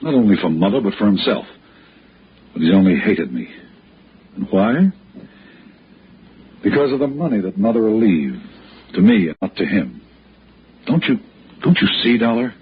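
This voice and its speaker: An American actor playing an anguished, pleading, respectable son in a 1960s radio drama, earnest and halting. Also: male voice, lowish voice, sing-song, husky, heavily acted. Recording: muffled, hissy old radio broadcast.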